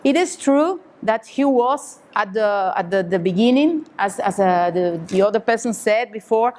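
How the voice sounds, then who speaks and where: A woman's voice: mid-range voice, expressive, moderate pace, a little mellow. A woman from Cuba, speaking at a seminar in hesitant English full of fillers and restarts. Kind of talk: halting public remarks. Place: conference hall.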